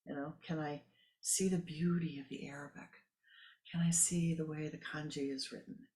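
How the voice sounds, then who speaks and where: Musing spiritual teacher repeating for emphasis. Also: male voice, a little high, flat delivery, normal speed, darkish tone. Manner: emphatic repetition, musing asides. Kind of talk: sermon.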